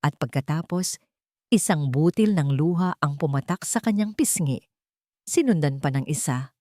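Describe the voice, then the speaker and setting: Female storyteller, lowish pitch, dry close recording, measured dramatic narration, deliberate short phrases. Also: lively intonation, a little mellow, dry recording.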